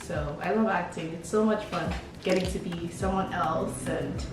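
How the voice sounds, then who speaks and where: A woman's voice: fairly low pitch, moderate pace, background noise. A young woman from Lagos, chatting casually and playfully as she answers questions about herself for a vlog. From Lagos, Nigeria. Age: young adult. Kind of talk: casual chat. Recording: echoey room, distant mic, background beat.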